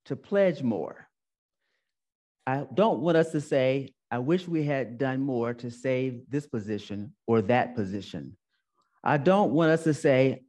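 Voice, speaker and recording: A man's voice: medium pitch, a little mellow. A male minister giving a sermon, telling a personal story and repeating phrases for emphasis. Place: church sanctuary.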